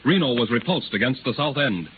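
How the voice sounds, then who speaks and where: A man's voice: medium pitch, lively intonation, brisk. Declamatory male narrator on tinny old radio. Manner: florid, declamatory. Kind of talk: dramatic scripted 1930s radio narration. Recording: noisy, tinny 1930s radio recording.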